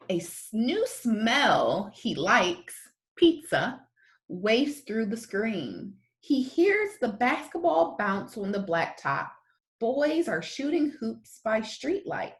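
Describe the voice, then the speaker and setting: A woman reading a children's story aloud as a storyteller, with expressive, deliberate phrasing and dramatic emphasis. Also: on the high side.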